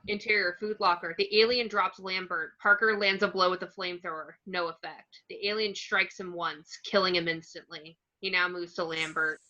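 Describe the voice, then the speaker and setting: A woman's voice: on the low side, steady tone, moderate pace, hardly any pauses, bright, forceful tone. A woman narrating stage directions from a screenplay in short clipped phrases, on a lo-fi recording. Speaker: narrator reading action descriptions.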